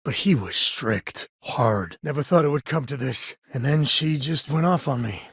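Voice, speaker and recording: A man's voice: mid-range voice, lively intonation, moderate pace, vocal fry, a bit bright, husky, no room sound, studio quality, full character voice. A man acting a wry, devoted middle-aged space-frontier father in a video game, sardonic but caring, with a folksy manner. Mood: grave, reflective. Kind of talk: video game companion dialogue.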